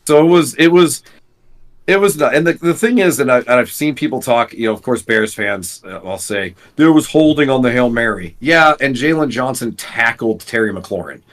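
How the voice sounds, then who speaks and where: A man co-hosting a casual sports podcast, rambling with many fillers, his voice gravelly from screaming. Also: mid-range voice, expressive, moderate pace. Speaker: podcast co-host.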